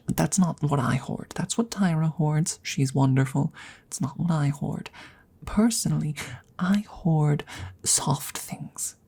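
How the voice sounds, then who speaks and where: A woman voicing a courteous, eccentric female dragon in an ASMR fantasy roleplay, speaking in a soft breathy whisper with halting, formal, coaxing phrasing. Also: deep voice, exaggerated voice. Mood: coy, amused.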